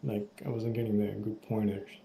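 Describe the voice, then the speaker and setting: A man chatting as a guest on a live stream, halting and hesitant with many fillers. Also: on the low side, level tone, vocal fry, warm tone, a little hiss or hum. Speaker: guest on a livestream. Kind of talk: casual chat.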